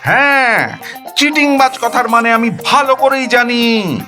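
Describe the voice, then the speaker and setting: A man voicing a sharp-tongued, bossy wife who scolds curtly and impatiently in an audio story. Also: very high voice, expressive, unhurried, over music, voice pushed up. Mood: indignant. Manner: scolding, curt, emphatic. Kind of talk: audio story character acting.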